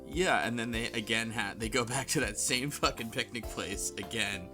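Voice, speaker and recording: A man's voice: medium pitch, moderate pace. A man hosting a casual chat, recapping a story loosely with jokes, swearing and hesitations. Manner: loose, joking, swears and laughs. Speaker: podcast host. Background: game music.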